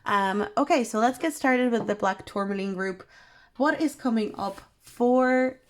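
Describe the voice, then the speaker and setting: A young woman reading tarot cards for her viewers, her voice worn and hoarse from being unwell, talking in a soft, meandering way with many pauses. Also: fairly high pitch. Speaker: tarot reader. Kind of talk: pick-a-card tarot reading.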